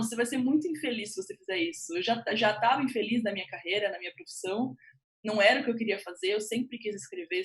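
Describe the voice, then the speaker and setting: Higher-voiced Brazilian woman telling her story. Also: poor audio. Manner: animated, informal, self-quoting storytelling. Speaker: guest panelist, writer and screenwriter. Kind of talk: conversational personal account on a panel.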